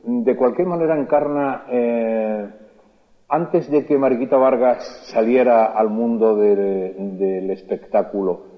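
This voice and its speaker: Male radio interviewer, polite, halting questions, dull reverberant archival sound. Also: medium pitch, steady tone. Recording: dull old radio tape with long reverb.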